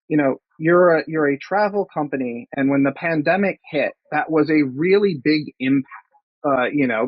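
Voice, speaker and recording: A man's voice: mid-range voice, no echo. A man hosting a webinar, talking loosely and often restarting his phrases. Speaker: webinar host. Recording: dry, dull lo-fi sound.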